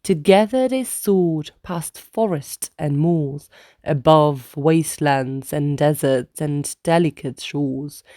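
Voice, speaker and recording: A woman reads a rhyming children's story aloud. Her voice is soft-toned and a little low, and she takes her time over each line. The sound is dry, with no room around it. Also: slow, no echo. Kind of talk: children's read-aloud, rhyming scripted narration.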